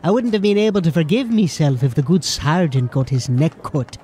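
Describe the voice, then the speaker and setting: A man's voice: a little high, lively intonation, normal speed, darkish tone, slight background noise, lightly acted. A man playing a distrustful, furtive street informant in an audio drama, with a colloquial way of talking. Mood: wary, sardonic.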